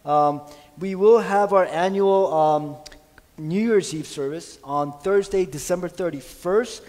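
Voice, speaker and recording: A middle-aged man with a slightly raised voice leads a church prayer, earnest and halting, often pausing on fillers. His voice rings with a long reverb off the sanctuary walls. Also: sits high, a little mellow. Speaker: pastor. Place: church sanctuary stage at a pulpit.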